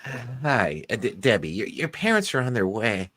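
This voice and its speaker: A man's voice: fairly low pitch, very animated, moderate pace, pushed and bright. A man playing a callous but easily rattled scientist, hesitant under pressure, in a live audio drama read. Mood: nervous.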